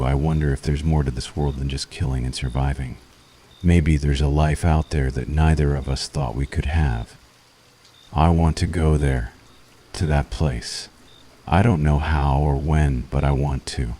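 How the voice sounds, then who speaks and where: A man playing a weary, conflicted witch hunter in an intimate ASMR roleplay, speaking softly and earnestly to the enemy he has grown tender toward. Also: rumbling low, a little hiss or hum. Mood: weary, troubled, then yearning, earnest. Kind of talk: ASMR boyfriend roleplay, intimate scripted monologue.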